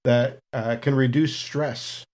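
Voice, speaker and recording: A man's voice: mid-range voice, steady tone, slow, darkish tone. A man speaking casually as a player in a tabletop role-playing game, explaining his character's moves.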